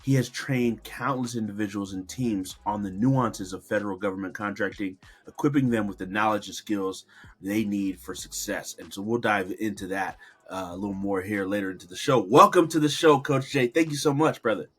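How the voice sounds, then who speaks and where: A man's voice: fairly low pitch, normal speed, a bit bright, husky. A man hosting an interview show, introducing and thanking his guest in an effusive, admiring way. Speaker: podcast host.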